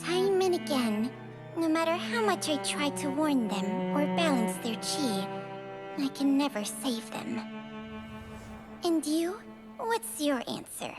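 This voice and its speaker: This is an ancient female snake spirit in a video game, high-pitched and drawn out with slow, lingering phrasing. Her tone swings widely as she teases, always languid and world-weary. Music plays under her. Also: sing-song, exaggerated voice.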